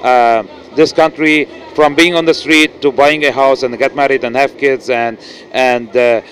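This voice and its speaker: A man's voice: medium pitch, fairly even intonation, measured pace, a bit bright, a little hiss or hum, poor audio. A middle-aged man with a Lebanese accent, an actor speaking earnestly in an interview, with many restarts and fillers. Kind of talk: casual interview. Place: noisy indoor event venue. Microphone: handheld mic.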